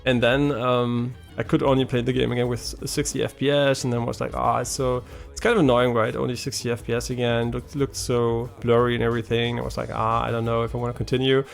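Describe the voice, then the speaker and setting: A man talks loosely as he plays, wandering between thoughts and tagging "right?" onto his sentences. His voice has a gravelly, fried edge at an ordinary male pitch. Soft game music sits faintly behind him. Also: level tone, fast, hardly any pauses. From German-speaking, half French. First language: German. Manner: rambling, many ums and restarts, keeps asking "right?". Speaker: gaming streamer. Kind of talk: casual live-stream chat while gaming.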